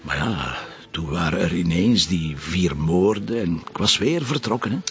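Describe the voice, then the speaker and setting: An actor playing a weary middle-aged Flemish police commissioner, gravelly and coaxing, in a TV drama. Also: male voice, deep voice, expressive, normal speed, fairly bright tone. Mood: tender. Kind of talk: TV drama acting. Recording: dull lo-fi TV audio.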